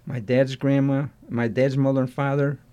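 Older man with a mellow voice. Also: medium pitch, flat delivery, clean tone. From Chicago, USA. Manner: halting, restarts sentences, warmly nostalgic. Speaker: interview guest. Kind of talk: reminiscing interview answers.

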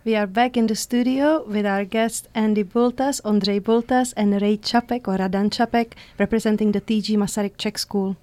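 A woman host introducing the guests in a brisk announcing manner. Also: mid-range voice, fairly even intonation, mellow. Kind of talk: studio show introduction.

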